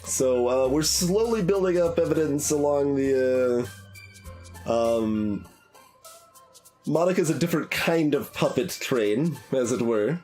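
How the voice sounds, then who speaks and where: A man's voice: mid-range voice, measured pace, darkish tone, soft background music. A man streaming a game playthrough, talking casually to viewers in a hesitant, rambling way as he thinks aloud.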